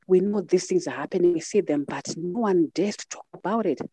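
A woman's voice: fairly low pitch, a little fast, dark tone, slight background noise. A middle-aged woman with a Zimbabwean accent, telling her personal story reflectively as a podcast guest.

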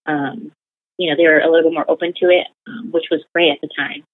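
A woman's voice: sits low, steady tone, a little fast. A young woman talking casually as an interview guest, rambling with many fillers like "like" and "you know". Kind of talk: casual conversational storytelling. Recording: tinny phone-like line.

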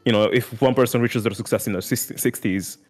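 A man's voice: medium pitch, steady tone, a little fast, soft background music. A man guest on a podcast, talking casually and earnestly, throwing in "dude" and "like" a lot. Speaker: music content creator guest.

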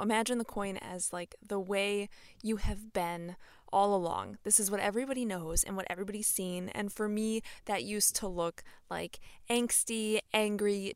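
A woman's voice: mid-range voice, clean tone, no room sound. Young female podcast host, chatty and upbeat, dry close-miked sound, casual solo talk. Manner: bubbly and chatty, many fillers and laughs.

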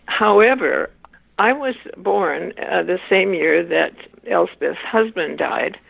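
An older woman whose first language is Swiss German, speaking as an interview guest in a measured, thoughtful way and pausing to search for words. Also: lowish voice, animated, creaky, husky, no echo. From Wisconsin, USA. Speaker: interview guest, author and family therapist. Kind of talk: reflective interview answers. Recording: tinny phone-like line.

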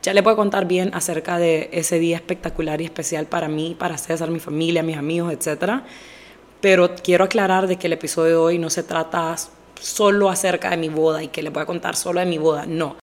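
A young woman hosting her own podcast in casual, direct chat, a little hoarse with a stuffy nose, slipping English phrases into her talk. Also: on the low side, flat delivery, a little fast. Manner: direct, chatty, mixes English phrases into Spanish. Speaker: podcast host.

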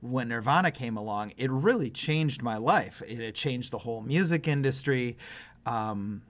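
A man's voice: mid-range voice, animated, moderate pace. A man in his forties chatting casually as the co-host of a podcast. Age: 40s.

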